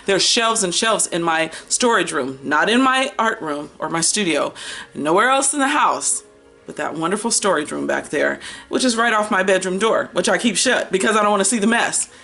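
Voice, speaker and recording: An American woman in her fifties talking casually as a book vlogger, chatty and animated, wandering off on tangents and drawing out words for emphasis. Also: mid-range voice, moderate pace, vocal fry, soft background music. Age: 50s. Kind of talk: casual rambling chat to camera.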